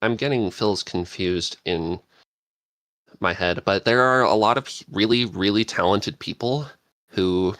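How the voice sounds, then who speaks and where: A man's voice: lowish voice, a little slow, a bit bright, dry recording. A man chatting casually as a panelist, rambling and searching for words. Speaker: podcast panelist and scriptwriter. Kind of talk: casual chat.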